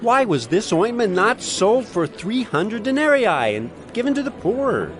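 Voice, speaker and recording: Greedy complaining disciple, bible drama, high swoopy voice, noise behind. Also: male voice, very animated, a little slow. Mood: indignant. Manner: indignant, complaining. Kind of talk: dramatized audio Bible acting. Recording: clear ambient noise behind voice.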